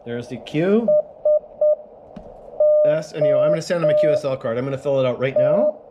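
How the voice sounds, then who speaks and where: A man from Canada casually explaining a ham radio Morse contact, relaxed and full of fillers, recorded on an action camera at home. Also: a little high, a little fast, muffled recording. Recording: noisy camera audio with Morse tones.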